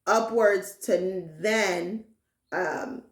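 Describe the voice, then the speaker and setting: A woman's voice: mid-range voice, fairly even intonation, extremely slow, roomy sound. A woman telling a personal story in a casual interview, earnest and emphatic, often checking in with "right?". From Haitian. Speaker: guest, vegan caterer and chef.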